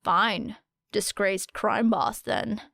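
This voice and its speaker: A woman voicing a dry, teasing, observant teenage boy with an unhurried, muttering manner in an audiobook. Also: medium pitch, creaky, fairly bright tone, no room sound, full character voice. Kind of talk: audiobook character voice.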